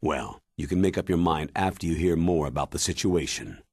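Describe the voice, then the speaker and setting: Deep, husky military commander. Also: male voice, no room sound, heavily acted. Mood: instructive. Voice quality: husky, weighty. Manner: measured, commanding, grave. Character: stern, authoritative older military commander giving mission briefing. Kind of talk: dramatic video game cutscene acting.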